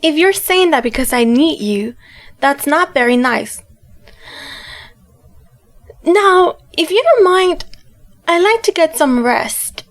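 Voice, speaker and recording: A woman's voice: high-pitched, animated, takes it slowly, long pauses, full character voice. A young woman playing a feisty, guarded and weary witch girl in an audio drama, blunt but hesitant. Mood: annoyed, guarded. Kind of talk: fan audio drama acting.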